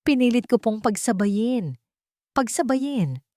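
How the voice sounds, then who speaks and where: A woman's voice: fairly high pitch, expressive, slow pace, a little mellow, dry recording, studio quality, pitched above the performer's own voice. A woman voicing a weary, hurt young nurse who speaks respectfully and pleadingly in an audio drama.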